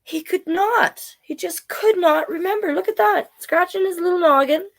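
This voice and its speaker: A woman in her forties giving a casual children's read-aloud, playful and expressive, with chatty asides. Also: extremely high pitch, moderate pace, vocal fry. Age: 40s. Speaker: children's storyteller.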